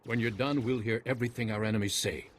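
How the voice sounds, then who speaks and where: Man playing a low-voiced, courteous noble general. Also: fairly even intonation, close to an everyday voice. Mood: earnest, grateful. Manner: formal, measured. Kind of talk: video game voice acting. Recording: faint battle noise, gunfire behind.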